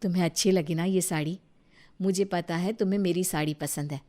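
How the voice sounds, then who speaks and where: A woman voicing a kind, caring young elder sister-in-law in an animated moral story, gentle and reassuring. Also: on the low side, a little fast, noisy background, lightly acted.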